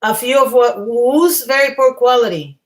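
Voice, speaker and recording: A woman hosting a casual livestream while losing her voice, rambling and often clearing her throat. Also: fairly high pitch, moderate pace. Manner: chatty, reads viewer comments aloud. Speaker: livestream host.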